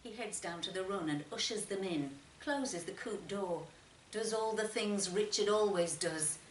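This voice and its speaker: A woman reading literary fiction aloud in an expressive, measured narration with emphatic phrasing. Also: medium pitch. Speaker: short story reader. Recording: roomy, distant mic, faint noise.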